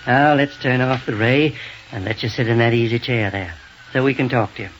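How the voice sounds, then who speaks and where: A man playing a kindly, cautious doctor in a 1930s radio serial, soothing and deliberate, on a noisy old broadcast. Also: medium pitch, lo-fi sound, close to an everyday voice. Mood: measured, friendly. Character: kindly, cautious doctor tending a hurt boy.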